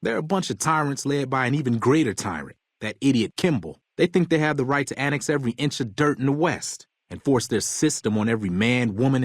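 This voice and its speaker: An American actor playing a bitter, hot-tempered convict gang leader in a video game, gruff, profane and commanding. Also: male voice, mid-range voice, no room sound, studio quality, lightly acted. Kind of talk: video game character dialogue.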